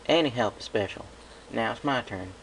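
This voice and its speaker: A man's voice: medium pitch, moderate pace, gravelly, voice pushed up, natural voice. A man voicing a protective big-sister pony, a direct, folksy country mare, reading a story. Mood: kindly encouraging. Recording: noisy home recording.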